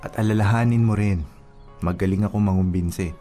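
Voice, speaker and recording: A man's voice: lowish voice, fairly even intonation, a little fast, mellow, close to an everyday voice. A man playing a teasing, devoted provincial suitor and childhood friend in a romantic audio drama. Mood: playful wooing. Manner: teasing, chuckling, sweet-talking. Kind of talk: radio-style romantic drama acting. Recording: music bed under the voice.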